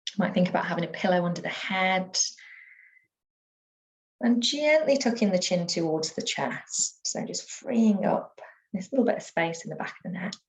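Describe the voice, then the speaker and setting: Low-pitched woman guiding relaxation, soothing and unhurried. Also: animated, vocal fry, poor audio. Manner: soothing, unhurried, with gentle pauses. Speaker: yoga nidra teacher. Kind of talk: guided relaxation meditation.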